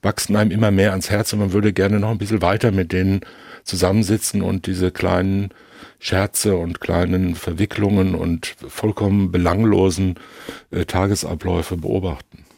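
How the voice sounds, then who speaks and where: A man discussing film reflectively in halting, long-winded, analytical sentences. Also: low-pitched, flat delivery, gravelly, darkish tone, dry recording, studio quality. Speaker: podcast co-host discussing films.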